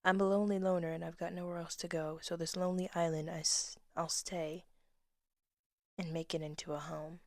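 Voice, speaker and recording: Woman, 20s; low-pitched, hushed reader of her own verse, dry close mic. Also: flat delivery, brisk, no echo. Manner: soft-spoken, drifts into whispers, laughs at herself. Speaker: poet reading her own work. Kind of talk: casual reading of old poems with asides.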